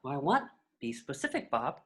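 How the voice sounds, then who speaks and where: A woman's voice: a bass voice, sing-song, moderate pace. A woman playing a fussy, tyrannical middle-aged male office boss in a script read, clipped, pompous and condescending. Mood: stern displeasure. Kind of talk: amateur script table read.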